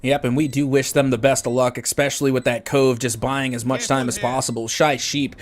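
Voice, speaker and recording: A man's voice: medium pitch, flat delivery, brisk. A chatty man from Louisiana giving live esports commentary in a conversational, casual way. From Louisiana, United States.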